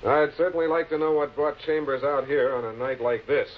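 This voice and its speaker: An actor with an American accent playing a composed, probing public prosecutor in a 1940s television crime drama. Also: male voice, fairly high pitch, a little fast, lightly acted. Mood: probing, dry. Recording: muffled, noisy old 16 mm film soundtrack.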